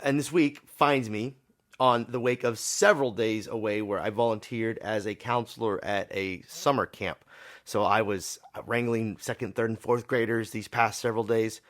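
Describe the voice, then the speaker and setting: A man's voice: on the low side, steady tone, normal speed, fairly bright tone. A man, a pastor hosting his podcast sermon, his voice tired and a little scratchy, speaking informally with small repetitions.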